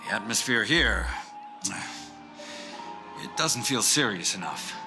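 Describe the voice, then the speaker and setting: A man voicing an anxious, deferential corporate subordinate in a video game, hesitant and flattering. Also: on the low side, takes it slowly. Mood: uneasy, deferential. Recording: music playing behind.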